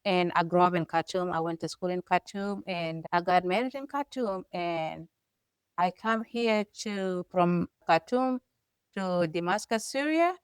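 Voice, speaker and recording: A woman's voice: fairly low pitch, measured pace, smooth, no echo, studio quality. A middle-aged woman from South Sudan with a South Sudanese accent, co-hosting and telling her life story in an earnest, emphatic way. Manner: earnest, emphatic, rising to forceful stresses. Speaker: co-host. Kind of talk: personal storytelling.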